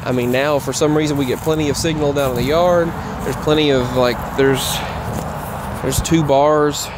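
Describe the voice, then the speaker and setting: A man giving a casual, rambling update while walking outdoors, halting and often repeating himself. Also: fairly high pitch, fairly even intonation. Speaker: vlogger. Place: outdoors, walking in a grassy yard near roads. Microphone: earbuds microphone with a phone. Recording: clear outdoor traffic noise. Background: passing traffic.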